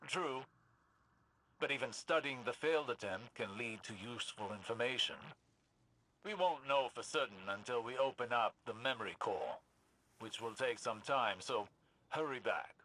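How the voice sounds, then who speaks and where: A man acting a learned, composed older mentor in a video game, explaining things in a measured, formal manner. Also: mid-range voice, expressive, sharp tone, low-quality recording. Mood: composed, instructive.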